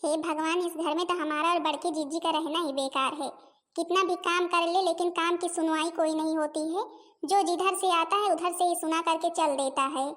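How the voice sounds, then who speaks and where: Woman playing a very high-pitched polite daughter-in-law. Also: fairly even intonation, moderate pace, a bit bright. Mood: aggrieved. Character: dutiful young Indian daughter-in-law, polite, long-suffering housewife. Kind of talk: family comedy cartoon dialogue.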